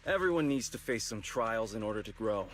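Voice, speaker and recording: A man voicing an affable, composed young investigator in a video game, with an easygoing, smooth-talking manner. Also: fairly low pitch, expressive, a little fast, a little hiss or hum, close to an everyday voice. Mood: friendly, composed. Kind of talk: English video game voice acting.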